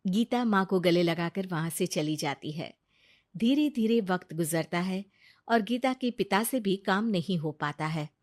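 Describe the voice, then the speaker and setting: A woman's voice: fairly low pitch, lively intonation, unhurried, a little mellow. A woman reading scripted storybook narration for a family tale.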